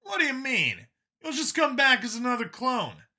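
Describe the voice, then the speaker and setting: A man voicing an eager, defensive male soldier type in an audiobook, talking in an excitable, emphatic way. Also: extremely high pitch, normal speed, voice pushed up, full character voice. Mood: defensive, earnest. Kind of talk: audiobook character dialogue.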